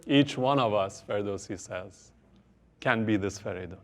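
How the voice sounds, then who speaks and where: A man presenting at a conference, wrapping up his talk in a measured way. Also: fairly low pitch. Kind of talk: closing a talk. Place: lecture hall.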